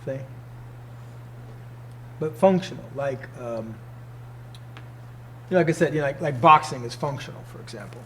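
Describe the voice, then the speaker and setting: A man's voice: mid-range voice, normal speed, long pauses, a little hiss or hum, poor audio. A man in his sixties, a martial arts teacher, giving an informal talk to students, emphatic and fond of rhetorical questions. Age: 60s.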